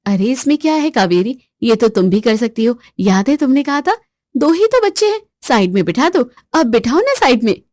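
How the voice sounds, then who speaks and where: Woman doing a weary young housewife, soft, quietly reproachful, high voice, dry close mic. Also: very animated, normal speed, dry recording. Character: patient, put-upon young sister-in-law, quietly wry.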